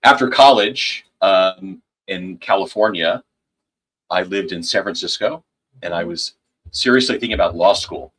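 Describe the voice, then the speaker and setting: A middle-aged rabbi from upstate New York recounts his past in a measured, wry way. His voice is very deep and steady. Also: breathy edge, low-quality recording. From upstate New York, USA. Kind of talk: personal storytelling.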